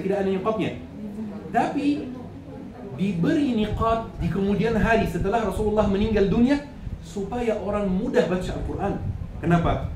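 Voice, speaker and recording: A male preacher with an Arabic accent lectures in a fairly high voice, posing questions and answering them himself. He repeats key phrases to instruct his listeners. The recording is echoey, with clear background noise. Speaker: Islamic preacher. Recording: echoey, noisy room, distant microphone.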